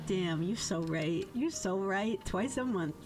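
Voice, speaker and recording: A woman's voice: medium pitch, animated, measured pace. A woman livestreamer chatting casually and reading out chat, playful, theatrical and sweary, with lots of slang. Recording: background music under voice. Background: music.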